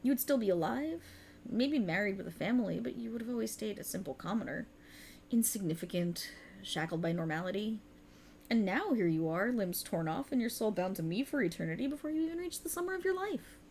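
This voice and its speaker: A woman's voice: medium pitch, expressive, a little fast, darkish tone, slight background noise, natural voice. A woman voicing a sultry, cruel and mocking otherworldly warlock patron in a theatrical ASMR roleplay, teasing and condescending. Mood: gloating, savoring.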